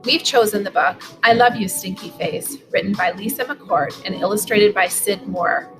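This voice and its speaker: A woman's voice: mid-range voice, moderate pace. A woman, reading a picture book aloud at home. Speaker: school superintendent reading aloud. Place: living room sofa, indoors. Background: music.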